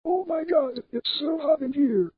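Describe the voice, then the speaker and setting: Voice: high voice, unhurried, no echo, narrow, tinny audio. A performer voicing a TV news anchor who reports on a disaster in a scripted broadcast style. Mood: overheated, distressed. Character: TV news anchor reporting a deadly heat wave.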